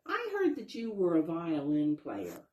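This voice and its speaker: A woman voicing a brisk, sensible female animal who bakes, speaking in a scolding, no-nonsense way for a children's story. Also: fairly low pitch, wildly swooping pitch, darkish tone. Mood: matter-of-fact. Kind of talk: children's storybook read-aloud. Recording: roomy, echoing sound.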